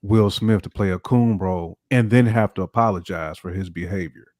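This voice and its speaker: A man's voice: low-pitched, fairly quick, darkish tone, no echo, clean studio sound. A man on a podcast panel talking in casual slang, emphatic and repeating phrases for punch. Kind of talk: casual banter, slangy commentary.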